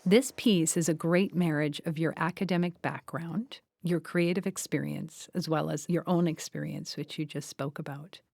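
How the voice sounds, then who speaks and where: A woman's voice: deep voice, fairly even intonation, moderate pace, gravelly, hardly any pauses, a little mellow, no echo. A woman hosting an interview, putting prepared questions to a guest. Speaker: interview host.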